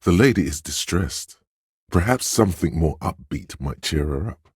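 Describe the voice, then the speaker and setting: A man voicing a suave, insinuating inner voice of persuasion in a video game, narrating in a measured, knowing, gently coaxing way. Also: lively intonation, no echo, clean studio sound, heavily acted. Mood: wry, measured. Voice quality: very deep, husky. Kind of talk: scripted video game narration in second person.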